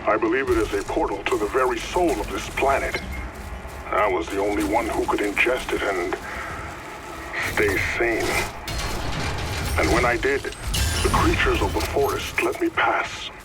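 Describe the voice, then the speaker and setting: A man's voice: lowish voice, normal speed, long pauses, loud music behind the voice, lightly acted, poor audio. A man playing an earnest, mystical explorer and forest guide in a video game, speaking solemnly. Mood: reverent. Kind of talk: video game cutscene acting.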